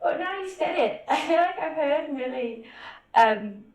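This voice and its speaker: A woman's voice: high-pitched, lively intonation, measured pace, a little mellow. A young woman who grew up in Spain, chatting easily on a family podcast with lots of "like" and "um.". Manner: easygoing, lots of "like" and "um". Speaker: hosts' daughter, guest. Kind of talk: casual family podcast chat.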